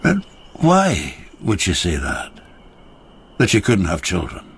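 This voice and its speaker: A country labourer in a period radio drama, with a very rough, husky voice full of gravel. He tells things wryly and ruefully, his pitch moving in wide swings. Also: huge pitch swings, takes it slowly, no room sound, full character voice. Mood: curious, wry. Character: rueful, confessional rural labourer of old Japan.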